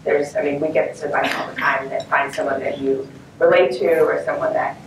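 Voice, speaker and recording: A woman's voice: low-pitched, level tone, a little fast, vocal fry. A woman panelist speaking conversationally at a conference, with frequent restarts. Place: conference stage. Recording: roomy, distant mic, faint noise.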